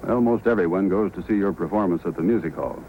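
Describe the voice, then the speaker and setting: An actor playing a courteous, steady police sergeant, formal and measured, in an old-time radio drama. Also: male voice, sits low, narrow, tinny audio. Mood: courteous, businesslike. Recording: faintly noisy old radio recording.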